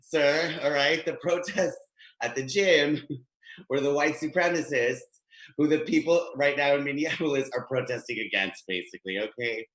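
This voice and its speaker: Man, thirties, stand-up from home, bright voice, echoey room. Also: fairly high pitch, normal speed. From Guatemala. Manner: chatty, animated, gesturing. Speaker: stand-up comedian. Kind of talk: stand-up set. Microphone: webcam, video call.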